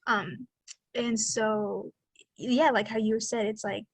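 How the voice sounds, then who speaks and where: A woman's voice: on the high side, steady tone, slow, breathy edge, no echo. A woman in her twenties co-hosting a podcast, talking in a reflective, rambling way with many fillers. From Mexican American. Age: 20s. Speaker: podcast co-host. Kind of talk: casual conversation.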